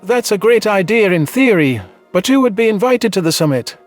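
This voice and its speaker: A man's voice: high voice, expressive, moderate pace, soft background music. A man voicing a skeptical, distrustful male alien in a science fiction audio drama, wary and challenging in his delivery.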